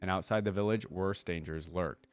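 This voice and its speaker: A man's voice: sits low, moderate pace, smooth. A man hosting a reaction video, reading stories aloud and commenting informally, with exclamations and rephrasing as he goes.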